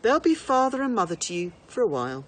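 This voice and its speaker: A firm father, played by a woman reading aloud, lectures his child briskly and formally. He is stern but reasoning, with some noise behind the voice. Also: on the high side, sing-song, pitched above the performer's own voice. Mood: firm, persuasive.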